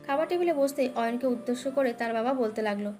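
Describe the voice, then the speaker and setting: A woman's voice: fairly high pitch, quick pace, dark tone. A woman narrating a dramatic romance story as a scripted audio tale. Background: background music.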